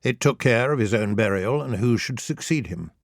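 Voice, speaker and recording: A man's voice: mid-range voice, lively intonation, normal speed, creaky, dry recording, clean studio sound. A man reading scripted humorous history as an audiobook narrator, in a wry, theatrical storytelling manner.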